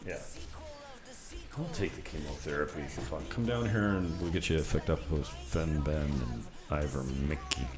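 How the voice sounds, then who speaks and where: A man's voice: very deep voice, moderate pace. A man hosting a podcast, rambling casually and irreverently, swearing freely and poking fun at himself. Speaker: podcast and radio host. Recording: music playing behind the voice. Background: show theme song.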